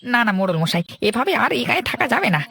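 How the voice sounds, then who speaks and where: A woman's voice: on the high side, sing-song, fast talker, creaky, a bit bright, husky, dry recording, full character voice. A woman voicing an indignant, disapproving villager in a cartoon, complaining in an agitated way. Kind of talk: Bengali cartoon dubbing.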